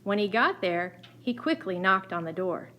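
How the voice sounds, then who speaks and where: Woman storyteller, medium pitch, faint noise. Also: very animated, normal speed, bright, forceful tone. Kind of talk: scripted children's story narration.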